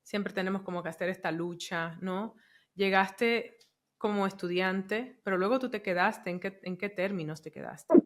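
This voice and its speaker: A woman who grew up in the Dominican Republic, hosting a podcast and speaking reflectively in casual conversation with soft murmured backchannels. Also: medium pitch, normal speed. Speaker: podcast host.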